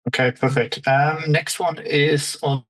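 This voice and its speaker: A man's voice: fairly high pitch, steady tone, a little slow, a bit bright. A man moderating a business call, giving instructions and reading out questions in a businesslike way.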